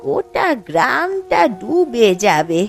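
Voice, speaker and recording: A woman doing an anxious old village mother in a cartoon, with slow, drawn-out words. Her pitch climbs and falls a lot as she frets. Soft music plays under her. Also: very animated, vocal fry, heavily acted. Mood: worried. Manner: anxious, mournful.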